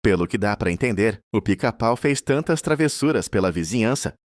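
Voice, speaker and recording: A Brazilian man with a fairly low voice hosts and narrates a horror tale. He speaks as a storyteller addressing his viewers, at an even, ordinary pace. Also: fairly quick, dry recording. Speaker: narrator and host.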